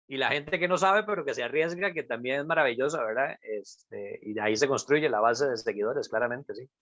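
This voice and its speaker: A man's voice: medium pitch, brisk, fairly bright tone, dry recording. A man hosting an interview over a live video stream, asking questions eagerly with frequent fillers. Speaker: interviewer host. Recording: dull, dry video-call audio.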